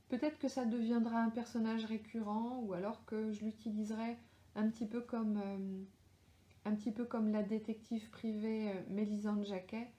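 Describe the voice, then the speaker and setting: Middle-aged woman, strong French accent, mellow voice, hesitant and chatty, echoey room. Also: on the high side. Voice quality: hesitant, many fillers and restarts. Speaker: author answering readers live. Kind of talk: casual live Q&A chat. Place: home, lives in Australia.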